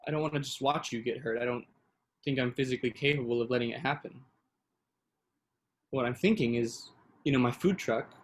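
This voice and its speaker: A man acting a gentle, dry-humoured young boyfriend in a staged play reading, understated and conversational. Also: medium pitch, fairly quick, pauses often, slight background noise, natural voice. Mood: earnest.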